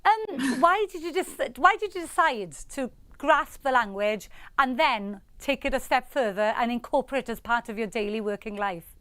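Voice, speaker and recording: A woman's voice: a little high, expressive, normal speed, fairly bright tone, no room sound. A woman hosting an awards ceremony, bubbly and gushing as she interviews a winner.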